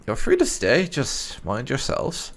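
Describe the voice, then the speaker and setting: A man's voice: medium pitch, wildly swooping pitch, measured pace, fairly bright tone. A man giving casual, conversational gameplay commentary as a video host, with some light roleplay.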